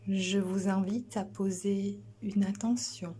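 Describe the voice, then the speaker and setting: A woman guiding a sleep relaxation as a yoga instructor, soothing and gentle, soft and breathy, often near a whisper, with long pauses. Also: medium pitch, flat delivery, slight background noise.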